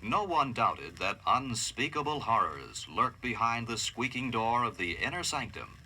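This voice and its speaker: An American man narrating a scripted radio history documentary in a formal, measured, dramatic way. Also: lowish voice, sharp tone, a little hiss or hum, low-quality recording.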